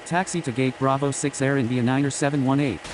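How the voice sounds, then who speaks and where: A man's voice: mid-range voice, fairly even intonation, fast talker, natural voice. A man voicing a businesslike airline pilot in a flight control game, with clipped, formulaic radio phraseology. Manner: formulaic, procedural. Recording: clear hiss over radio-style game audio.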